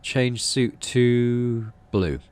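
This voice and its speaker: A man's voice: medium pitch, extremely slow, darkish tone. A man reading out a story game as narration and adding casual commentary as he plays. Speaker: video game streamer.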